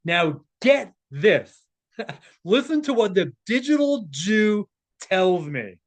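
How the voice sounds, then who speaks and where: A man with a fairly high-pitched voice talks in a casual, unscripted way as he works through a written text. He breaks from the reading for asides, and his manner is scornful and sarcastic. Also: big pitch swings, slow pace. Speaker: commentator, presenter. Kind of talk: casual spoken commentary while reading aloud from a text.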